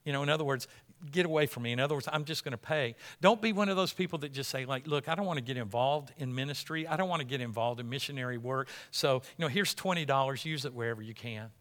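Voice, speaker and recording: A man's voice: mid-range voice, quick pace, a bit bright. A man preaching as a pastor in an informal, conversational sermon, speaking emphatically and often rephrasing himself. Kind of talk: informal sermon with missions slideshow.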